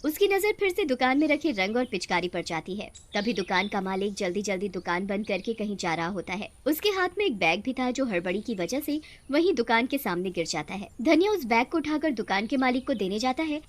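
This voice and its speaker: A woman's voice: on the high side, very animated, a little fast, hardly any pauses. A woman narrating a scripted moral story as a storyteller.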